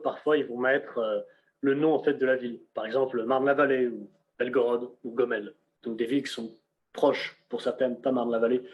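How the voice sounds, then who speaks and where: A young man giving a casual on-screen software walkthrough, talking conversationally with frequent fillers and restarts, recorded on a computer mic. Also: fairly high pitch. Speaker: presenter of a software demonstration. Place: indoors at a computer.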